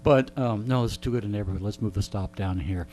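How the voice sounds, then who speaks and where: A man's voice: fairly low pitch, a little fast, a little mellow. A man being interviewed in a radio studio, telling stories in a casual, joking, rambling way. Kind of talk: casual anecdotal storytelling. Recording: faint mains hum.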